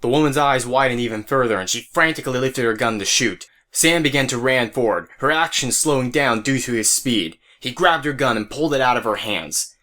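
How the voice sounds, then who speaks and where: A man's voice: mid-range voice, animated, a little fast, hardly any pauses, bright, forceful tone. A man narrating a scripted story as the narrator of an audio drama. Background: music.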